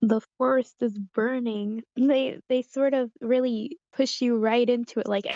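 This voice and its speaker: A woman's voice: fairly high pitch, steady tone, a little slow, warm tone, no echo. A woman chatting casually as a participant in a group discussion, over muffled, lo-fi audio. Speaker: workshop participant. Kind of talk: casual chat.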